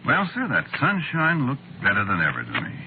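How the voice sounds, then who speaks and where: An actor playing a tired, sardonic, wisecracking screenwriter in an old radio drama, with slangy, wry delivery. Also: male voice, medium pitch, huge pitch swings, fairly quick, faint music behind. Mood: weary, wry. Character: weary, wisecracking male Hollywood screenwriter, harried and sardonic. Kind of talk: old-time radio drama acting and first-person narration. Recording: muffled, noisy vintage radio recording.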